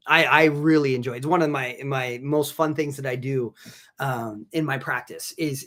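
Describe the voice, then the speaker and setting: A man working as a physician assistant, slightly raspy from allergies, hosting a casual live stream and rambling through many restarts while reading out viewers' names. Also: a little high. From Southern California. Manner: rambling, many restarts and fillers, reads chat names aloud. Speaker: physician assistant and channel host.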